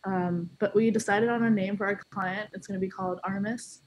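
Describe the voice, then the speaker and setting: Slightly husky woman, a little sick. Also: mid-range voice, steady tone, fairly quick, rough recording. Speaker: client developer giving a team update. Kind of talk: status report on a video call. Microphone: video call.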